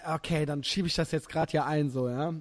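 A German man in his thirties hosting a podcast, chatting casually and telling animated, slangy stories with frequent laughter. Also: a little high, moderate pace. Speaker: podcast host. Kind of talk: casual chat.

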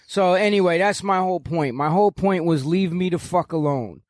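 A very high-pitched man in his sixties rants loudly, cursing constantly as a podcast guest. His voice is dry and close, with no room around it. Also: normal speed, no room sound. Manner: loud, profane, ranting. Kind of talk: heated podcast conversation.

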